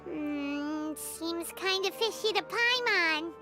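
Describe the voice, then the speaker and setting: A woman's voice: very high voice, animated, slow pace, music in the background, exaggerated voice. A woman voicing a tiny, chatty fairy girl companion in a video game, curious and speaking of herself by name. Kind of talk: game voice acting.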